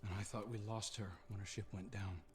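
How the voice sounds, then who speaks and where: A man playing a solemn, grieving military commander in a video game cutscene, grave and halting. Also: fairly low pitch, level tone, darkish tone, lightly acted. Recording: music under the voice.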